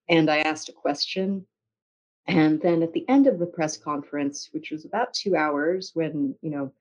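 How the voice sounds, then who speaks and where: American female journalist as interview guest, measured conversational storyteller with pauses, muffled low-fidelity recording. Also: darkish tone. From United States. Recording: dull, lo-fi audio.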